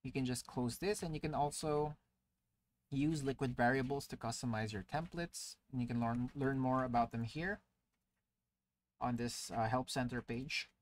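Male tutorial narrator, mid-pitch, relaxed explainer voice-over, loose and chatty with fillers. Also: normal speed. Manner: casual explaining with frequent "uh" fillers. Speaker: tutorial presenter. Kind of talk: screen-recorded software tutorial. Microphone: voice-over to screen recording.

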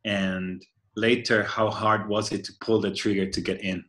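Thoughtful man from Argentina, bright voice. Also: lowish voice, little pitch movement. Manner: thoughtful, halting, searching for words. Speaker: engineer. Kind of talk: casual video call chat. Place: home room by a window. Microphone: laptop webcam on a video call.

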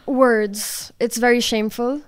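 Smooth-voiced Portuguese-born woman. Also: fairly high pitch, fairly even intonation, a little slow, dry recording. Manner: chatty, searching for words, laughs often. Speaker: podcast guest. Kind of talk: casual chat. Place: small studio room with dark walls. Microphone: desk microphone on boom arm.

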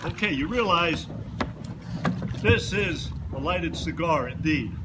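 A man in his seventies explaining kayak rolls slowly and with gentle humor, speaking outdoors on open water and picked up by a distant camera. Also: on the high side, lively intonation, heavy background noise. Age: 70s. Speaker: kayak instructor demonstrating rolls. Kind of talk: casual demonstration narration.

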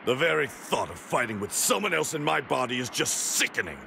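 Proud anime fighter, scornful, gravelly, swinging pitch. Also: male voice, sing-song, a little fast, noisy background. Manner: haughty, scornful. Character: proud, arrogant adult male alien warrior. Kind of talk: anime game voice acting.

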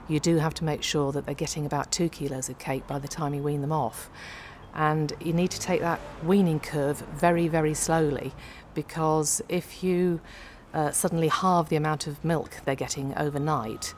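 A woman's voice: low voice, slight background noise. A middle-aged woman who works as a calf nutrition specialist, explaining practical advice to an off-camera interviewer, with frequent ums, in an indoor farm feed store. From United Kingdom.

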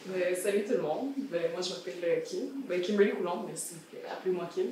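A young woman with a Québécois accent, a student speaking in a relaxed, reflective way on a panel. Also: fairly low pitch, fairly quick, a little hiss or hum. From Montreal, Quebec. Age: young adult. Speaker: university student, panel guest. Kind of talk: casual panel discussion. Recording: echoey room, distant mic.

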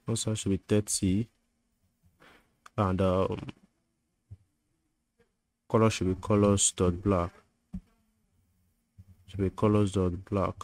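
Low, flat-voiced man walking through code. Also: slow, long pauses. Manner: talks while typing, thinks aloud with fillers and self-corrections. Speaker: coding tutor. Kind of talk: screen-recorded coding tutorial.

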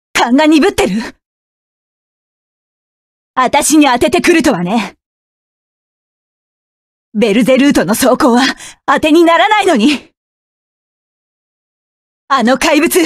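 A woman's voice: sits high, expressive, slow pace, pauses often, no room sound, studio quality. A woman voicing a proud, fierce young woman mech pilot who barks curt, commanding and scornful lines. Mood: tense, wary. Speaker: voice actress. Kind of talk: game voice clip collection.

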